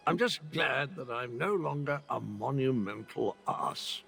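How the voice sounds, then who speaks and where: A man playing a chatty, eccentric undead game character with a creaky, husky voice, rambling theatrically with wry self-mockery. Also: medium pitch, animated, a little slow, a bit bright. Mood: wry, musing. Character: chatty eccentric undead former soul shriver, self-mocking. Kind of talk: video game character acting.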